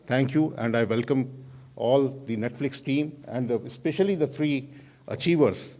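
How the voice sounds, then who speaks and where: A man with an Indian accent delivers a semi-formal welcome address as an official, in a halting way with self-corrections. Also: mid-range voice, warm tone. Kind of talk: semi-formal public speech.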